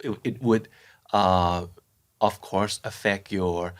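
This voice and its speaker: Woman interviewer with an unusually deep, low voice, attentive and encouraging. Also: fairly even intonation, slow pace. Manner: attentive, frequent soft backchannels. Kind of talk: casual interview chat.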